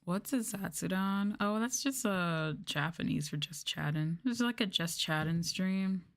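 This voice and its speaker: A woman's voice: on the low side, a little slow. A sleepy woman chatting casually and informally as a livestreamer, with a drowsy manner and sighs. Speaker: livestreamer, VTuber. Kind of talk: sleepy casual chat on an ASMR livestream, reading and answering viewers.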